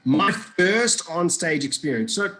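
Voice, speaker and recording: A man's voice: on the high side, expressive, a little slow, bright, forceful tone. A man from Iraq, a guest telling his story in a casual interview, rambling and joking with many restarts.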